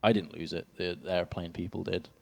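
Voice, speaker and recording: A young man with an English accent, his voice sitting a little low, casts an esports match from an event stage. He fills the dead time with self-mocking jokes and laughs easily. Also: fairly even intonation, fairly quick, vocal fry. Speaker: esports caster. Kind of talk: casual caster banter.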